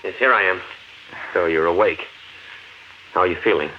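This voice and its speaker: Actor as a low-voiced skeptical man, old radio. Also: moderate pace, lightly acted. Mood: matter-of-fact. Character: practical skeptical man sheltering underground, protective and brisk. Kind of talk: 1950s radio thriller acting. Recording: noisy lo-fi 1950s radio recording.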